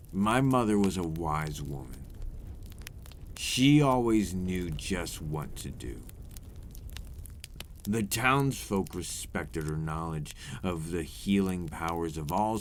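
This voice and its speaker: A brooding, remorseful vampire captor played by a man speaks close to the mic in a very low voice. He tells his story slowly and formally, like a weary confession in an ASMR roleplay. A noticeable low rumble sits behind him. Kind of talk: intimate ASMR roleplay monologue.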